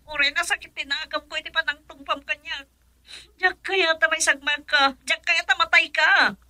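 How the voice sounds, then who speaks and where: A woman plays a young wife in a radio melodrama, her voice very high and bright. She pleads tearfully and fearfully, recorded close and dry. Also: steady tone, moderate pace, no room sound. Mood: anxious pleading. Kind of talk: melodramatic radio drama acting.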